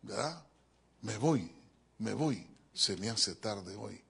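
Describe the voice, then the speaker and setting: A man preaching a sermon as a pastor, animated and joking, often addressing his listeners as brother. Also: lowish voice, takes it slowly, creaky, slight background noise. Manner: animated, joking, keeps calling listeners "hermano". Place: church.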